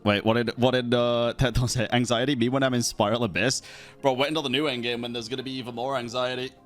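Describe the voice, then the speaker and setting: Male game streamer, mid-pitched voice, casual and sweary, faint game music behind him. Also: fairly even intonation, quick pace, a bit bright. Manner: informal, sweary. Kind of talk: casual livestream commentary and reading game dialogue.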